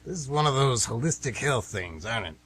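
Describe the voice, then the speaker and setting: A man's voice: mid-range voice, expressive, brisk, fairly bright tone, a little hiss or hum. An actor playing a folksy, grumbling retired man who rambles and gets defensive, in a radio drama. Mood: folksy, chatty. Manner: rambling, folksy, plain-spoken.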